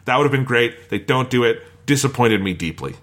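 A man with an average-pitched voice hosts a casual review podcast. He is opinionated and talks through his critique conversationally, steering from one point to the next. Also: animated, a little fast, a bit bright.

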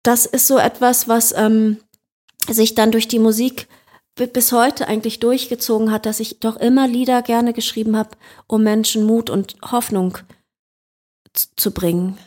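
A woman with a fairly high voice speaks as a podcast guest. She talks reflectively and searches for words, often restarting with small fillers. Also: level tone, normal speed, a little mellow. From Germany. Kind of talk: reflective interview talk.

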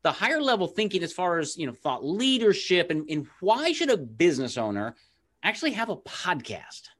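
A man's voice: high voice, big pitch swings, normal speed, a bit bright. A man hosting an interview, enthusiastic and affirming, sharing personal stories. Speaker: interview host. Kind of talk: interview host, casual business chat.

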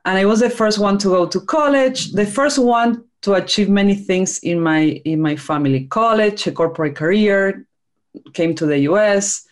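Female interview guest from Argentina, Argentinian Spanish accent, medium-pitched voice, recounting her own background. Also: normal speed. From small rural town in Argentina. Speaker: podcast guest, business consultant. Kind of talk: interview, telling personal story.

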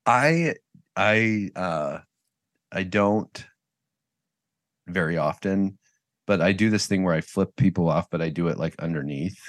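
Deep-voiced guy on a podcast, blunt, swears a lot, laughs a bunch, dry close mic. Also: expressive, unhurried, pauses often, no room sound. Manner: candid, swears freely, laughs often. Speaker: podcast co-host. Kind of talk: casual chat.

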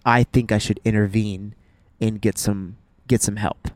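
A young man co-hosting a podcast, chatting in a playful, animated way with frequent "um" restarts. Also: on the low side, a little slow. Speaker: podcast co-host. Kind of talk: casual chat.

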